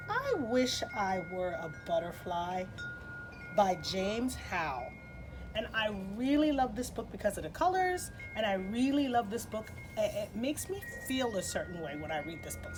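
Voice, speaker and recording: A woman's voice: medium pitch, rough recording. A woman hosting story time for children, reading a picture book expressively with chatty asides. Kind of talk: picture-book read-aloud with casual asides.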